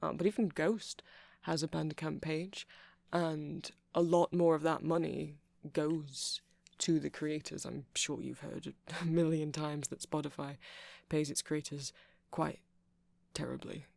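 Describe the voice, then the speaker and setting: Nerdy, earnest vampire male performer, soft-spoken and chatty ASMR delivery, gravelly fry, very dry sound. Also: fairly high pitch, steady tone, measured pace, dry recording. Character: nerdy, chatty vampire boyfriend who rambles about music. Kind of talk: ASMR roleplay ramble.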